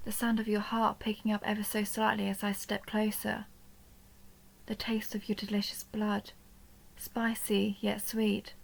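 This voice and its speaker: A woman playing a seductive, possessive vampire countess in an intimate audio roleplay, speaking slowly and teasingly, often dropping toward a breathy whisper. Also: mid-range voice, low-quality recording. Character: seductive possessive vampire countess toying with her human captive. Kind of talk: intimate dark romance audio roleplay, ASMR-like.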